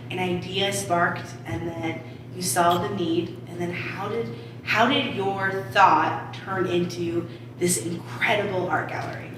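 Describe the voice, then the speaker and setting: A woman conducting an oral history interview in an encouraging, affirming manner. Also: lowish voice. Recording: very echoey, distant, noisy room.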